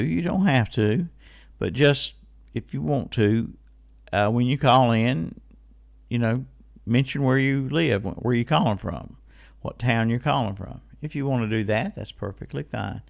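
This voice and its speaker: A man's voice: mid-range voice, vocal fry. A man hosting a casual live radio call-in show, folksy and given to repeating phrases and numbers.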